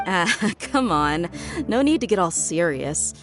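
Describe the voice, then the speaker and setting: A woman's voice: fairly low pitch, expressive, measured pace. A woman voicing a bold, teasing young mercenary in a video game, casual and breezy, with music behind her. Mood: easygoing.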